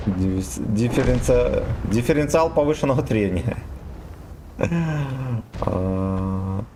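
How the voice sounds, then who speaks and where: Brief: young male streamer, lowish voice, jokey and profane, faint game sounds under him. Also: animated, takes it slowly, background noise. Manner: joking, swears freely, reads chat aloud. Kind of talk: casual game stream chatter.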